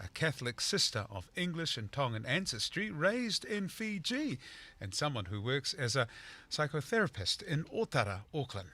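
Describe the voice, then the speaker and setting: Lively bright-voiced male radio host. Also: a little high, no room sound. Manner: lively, halting, many fillers and restarts. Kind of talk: radio interview.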